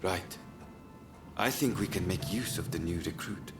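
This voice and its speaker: A man playing a stern, reserved samurai swordsman in a video game, speaking in a measured, curt and formal way. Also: deep voice. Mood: businesslike. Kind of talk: dramatic video game acting. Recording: loud music behind the voice. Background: loud game soundtrack music.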